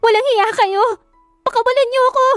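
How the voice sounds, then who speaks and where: A woman acts out a young Filipina heroine of an audio drama, frightened yet defiant. Her very high voice bursts out in emotional cries. Music plays softly behind. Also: steady tone, fairly quick, dry recording. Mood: angry, defiant. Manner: emotional, exclaiming, shouting.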